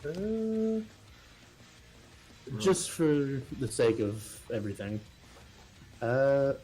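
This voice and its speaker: A man's voice: mid-range voice, very animated, very slow, dark tone, rough recording. A man playing a tabletop role-playing game, chatting casually and improvising his character's moves. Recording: faint guitar music behind the voice.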